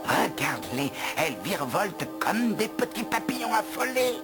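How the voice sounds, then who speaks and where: Cartoon house elf: grumpy, greedy, wry, highly animated intonation, light music underneath. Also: male voice, normal speed. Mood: impatient, instructive. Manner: theatrical, grumbling, wry. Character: grumpy gluttonous male house elf, reluctant guide. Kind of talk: animated film dub acting.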